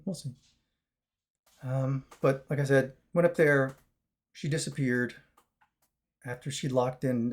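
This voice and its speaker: A man with a raspy, chesty voice, recovering after a long fast, talking casually to his viewers in a rambling way with many fillers and restarts. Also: mid-range voice, darkish tone. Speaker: vlogger. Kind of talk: casual talk to camera.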